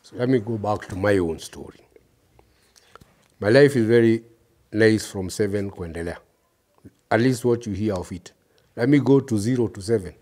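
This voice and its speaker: The husky, mellow voice of a Kenyan man in his fifties, the guest on a testimony show who leans in to tell his life story and press each point home. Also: on the low side, moderate pace, pauses often. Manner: reflective, emphatic, addresses listeners directly. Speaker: interview guest. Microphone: lapel mic.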